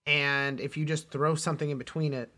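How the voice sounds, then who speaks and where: A man co-hosting a casual film discussion, emphatic but often halting as he searches for words. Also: fairly high pitch, little pitch movement, sharp tone. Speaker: podcast co-host.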